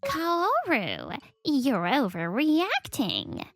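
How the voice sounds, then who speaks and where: A woman's voice: high voice, wildly swooping pitch, very slow, heavily acted. A woman voicing a haughty, controlling old mother-in-law who scolds imperiously in a manga dub. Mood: scolding.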